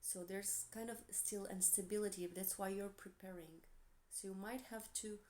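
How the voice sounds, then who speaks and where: A woman with a Portuguese accent, gentle and encouraging, giving a tarot reading with soft asides and self-corrections. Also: medium pitch, steady tone, moderate pace. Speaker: tarot reader.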